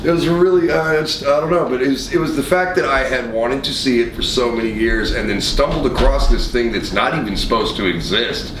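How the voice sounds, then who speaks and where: A man's voice: brisk, few pauses, far from the mic. A middle-aged man chatting casually about films as a podcast host, telling stories with plenty of swearing. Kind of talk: casual film chat. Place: indoor radio studio. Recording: roomy studio, off-mic, clear rumbling noise.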